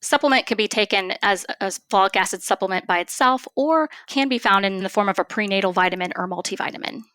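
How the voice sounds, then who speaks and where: Bright-voiced woman giving measured expert answers. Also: medium pitch, dry recording. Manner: measured, formal, precise explanations. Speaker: expert guest, family physician. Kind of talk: explanatory interview answers.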